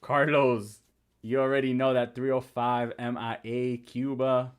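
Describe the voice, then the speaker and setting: A man's voice: mid-range voice, level tone, a little slow, smooth. A young man whose first language is Spanish, hosting a casual live stream, reading viewer comments and talking through trading charts. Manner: chatty, reads and answers viewer comments.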